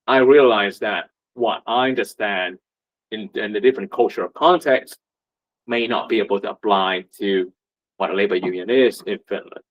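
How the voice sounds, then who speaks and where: A man from Vietnam, giving a conversational talk at a meetup as a union representative, full of rhetorical questions and fillers. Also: lowish voice. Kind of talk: presentation at a meetup. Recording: muffled lo-fi recording.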